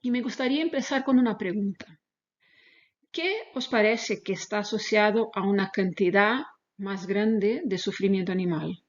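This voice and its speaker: A woman who speaks Spanish as a second language, presenting a research lecture in a webinar with careful, halting phrasing. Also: mid-range voice. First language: not Spanish, speaks Spanish as a second language. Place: online webinar. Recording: dull, lo-fi webinar audio.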